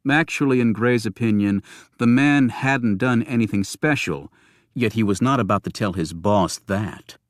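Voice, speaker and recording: A man's voice: fairly low pitch, normal speed, no room sound. Man narrating an audiobook, very dry sound. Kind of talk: scripted thriller audiobook narration.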